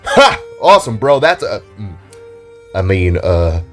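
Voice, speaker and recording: A man's voice: lowish voice, big pitch swings, a little slow, fairly bright tone, soft background music. A man voicing a playful, cocky young guy in an audio drama, teasing in slang like a big brother. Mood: excited then flustered. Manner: slangy, teasing, stammers when caught out.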